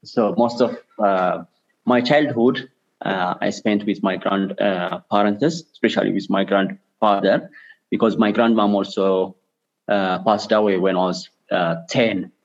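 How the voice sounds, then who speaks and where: A man in his thirties with an Ethiopian accent, answering personal interview questions haltingly, with frequent pauses. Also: fairly low pitch, fairly even intonation. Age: 30s. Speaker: interview guest, charity director. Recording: dull lo-fi remote call.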